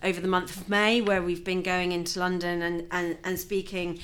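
A woman's voice: on the low side, flat delivery, moderate pace, a bit bright. Chatty woman talking investments. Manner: chatty, affirming, prompts with questions. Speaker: fund investment manager. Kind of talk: casual business discussion.

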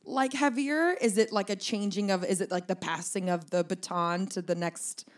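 A young woman with a slightly raspy low tone, co-hosting a podcast in a rambling, joking chat. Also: steady tone, normal speed. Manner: rambling, laughing, swears casually. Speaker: podcast co-host. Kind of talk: casual chat.

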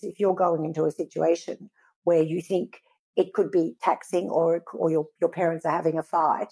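A woman from Israel speaks as an interview guest, her voice low-set, husky and gravelly with fry. She answers earnestly and at a measured pace, often stopping to search for the right word. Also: dark tone, poor audio.

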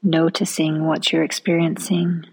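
A woman leading a guided relaxation meditation in a soft, soothing manner, often trailing off into a near-whisper. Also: sits low, little pitch movement, measured pace, vocal fry, breathy edge, poor audio.

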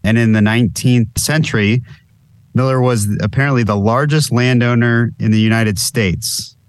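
A man's voice: medium pitch, moderate pace, dry recording. A man hosting an interview podcast, chatting casually and rambling with lots of filler words. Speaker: podcast host.

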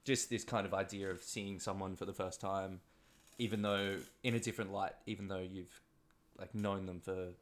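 A man's voice: low-pitched, steady tone, normal speed. A man from Australia chatting casually as a playwright guest on a podcast. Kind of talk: casual chat.

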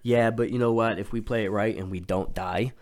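A man's voice: on the low side, fairly even intonation, a little fast, darkish tone. A young man co-hosting a casual podcast, slightly nasal, giving emphatic motivational advice about work and sales. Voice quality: a little nasal. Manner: motivational, emphatic, repeats key words. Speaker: podcast co-host.

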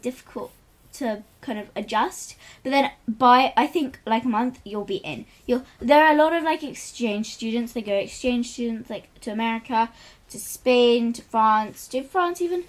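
Teen girl co-host, upbeat, chatty fillers, higher pitch. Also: moderate pace. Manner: encouraging, upbeat, filler-heavy. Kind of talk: casual chat.

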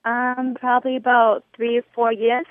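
A woman in her fifties with a youthful, fairly high voice, calling in on a tinny phone line. She chats casually, filling pauses with ums and small laughs. The sound is dry and close. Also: level tone, dry recording, faint music behind. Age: 50s. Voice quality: young-sounding voice. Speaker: caller. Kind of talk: casual phone call to a radio show. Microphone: telephone.

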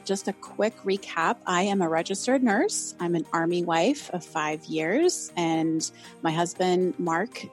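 A young woman hosting a casual solo podcast, chatty and upbeat, with a slightly nasal voice and frequent "um"s. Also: on the low side. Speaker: podcast host.

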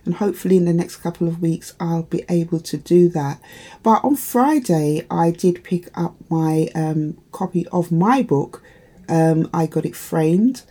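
Croaky, dark-voiced woman podcast host. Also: on the low side, unhurried. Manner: encouraging, chatty, many "you know" fillers. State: croaky from hay fever. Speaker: podcast host and empowerment coach. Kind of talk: solo podcast talk to listeners.

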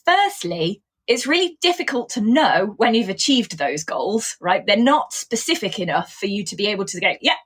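An English woman with a hybrid accent and traces of Coventry in it, speaking as an English teacher in a relaxed interview. Her voice is a little husky and sits in a middle register. She explains her ideas step by step and scatters "you know" through her talk. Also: mid-range voice, normal speed, hardly any pauses. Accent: mixed English, with traces of Coventry. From England. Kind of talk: casual interview chat.

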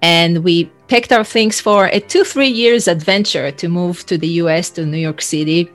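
A woman's voice: on the low side, normal speed, faint music behind. A woman from Israel whose first language is Hebrew, reflectively telling her life story as a guest over a video call, often restarting her sentences. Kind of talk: conversational storytelling.

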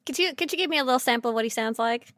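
A woman co-hosting a podcast with casual, silly banter, talking in an animated, jokey and exclamatory way. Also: high-pitched, racing, dry recording, clean studio sound. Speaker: podcast co-host. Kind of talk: casual, silly banter about an online game.

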